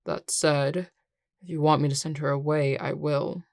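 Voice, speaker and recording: A woman's voice: low-pitched, fairly even intonation, normal speed, a little mellow, dry recording, clean studio sound. A woman playing a grumpy young male coworker in an audio roleplay, curt and sarcastic with exasperated delivery. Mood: guarded, annoyed. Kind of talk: scripted audio roleplay acting.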